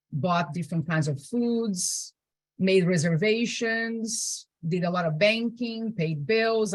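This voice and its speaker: A woman with a Brazilian accent and a fairly low voice presents informally over a video call. The sound is dry and close. She talks conversationally, dropping in "you know" and posing questions as she goes. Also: no room sound. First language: Portuguese. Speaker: presenter, digital accessibility specialist.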